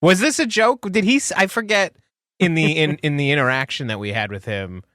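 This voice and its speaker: A man's voice: fairly high pitch, expressive, brisk, a bit bright, no echo, studio quality. A man in his late thirties co-hosting a podcast, joking and trailing off as he talks casually. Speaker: podcast co-host. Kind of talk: casual chat.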